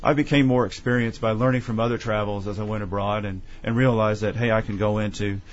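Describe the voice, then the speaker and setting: A man with a fairly low voice speaks in a measured, earnest way as an author answering questions in an interview. The sound is dull and lo-fi, like an older broadcast recording. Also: steady tone. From Tennessee. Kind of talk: conversational interview.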